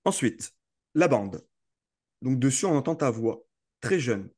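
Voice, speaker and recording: A man's voice: medium pitch, lively intonation, unhurried, darkish tone, husky. A man hosting a casual interview, often restarting and repeating words mid-sentence. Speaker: host.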